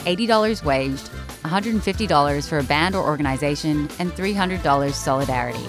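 A woman's voice: sits low, brisk. A woman reading out a scripted community radio promo over music.

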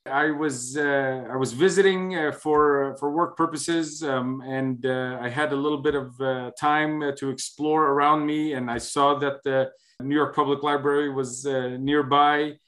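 A middle-aged man from the United States, a guest in an interview, speaking in a hesitant, conversational way with many pauses. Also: medium pitch, fairly even intonation, a bit bright. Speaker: interview guest, human rights researcher.

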